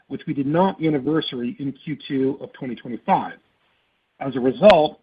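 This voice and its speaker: Man, finance executive, formal measured scripted delivery, slightly high husky voice, narrowband phone audio. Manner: formal, measured reading aloud. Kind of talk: scripted earnings call report. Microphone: conference call phone line. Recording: muffled narrowband phone conference line.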